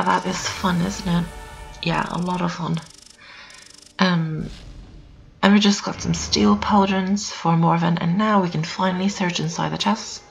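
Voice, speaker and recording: Hoarse, gravelly-voiced young woman gamer. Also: fairly low pitch, poor audio. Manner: chatty, repeats herself, lots of "um" and "so". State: hoarse from just waking up. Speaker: gameplay commentator. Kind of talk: casual let's-play commentary. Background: builders' noise outside.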